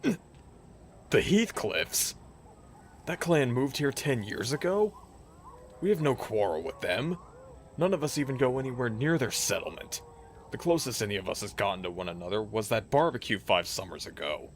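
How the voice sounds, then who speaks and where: Swooping, gravelly male werewolf acted by a man. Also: medium pitch, animated, moderate pace, close to an everyday voice. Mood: incredulous, defensive. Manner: theatrical, incredulous. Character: male werewolf packmate, dramatic, wry and easily startled. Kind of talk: dramatic fantasy audio drama acting. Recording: clear background noise and ambience.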